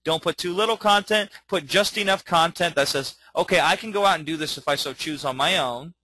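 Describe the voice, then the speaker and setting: A man teaching a webinar in an energetic way, often checking in with okay and all right. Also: on the high side, fairly quick, low-quality recording. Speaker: webinar trainer.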